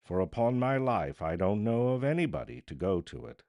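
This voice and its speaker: A man voicing a great fat, idle gentleman in a storybook, offhand and callously jocular. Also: on the low side, very animated, moderate pace, no room sound, studio quality. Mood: jovial, callous.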